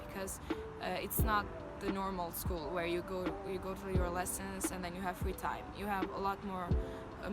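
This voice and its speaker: A woman from Albania, a medical student, answering interview questions with frequent "uh" pauses. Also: on the low side. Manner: hesitant, fills pauses with "uh". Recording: loud music behind the voice.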